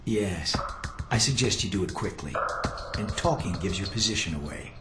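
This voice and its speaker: An actor playing an exacting spy training instructor in a video game, formal and terse, with cool condescension. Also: male voice, low-pitched, lively intonation, moderate pace. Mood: businesslike. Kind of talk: video game cutscene acting. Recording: roomy echo, music behind.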